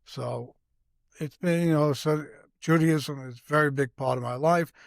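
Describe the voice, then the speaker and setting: Older Brooklyn-accented man, halting storyteller. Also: a little high, vocal fry, no echo, studio quality. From Brooklyn, New York. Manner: halting, unhurried storytelling with many fillers. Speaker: guest, host's father. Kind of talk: casual conversation.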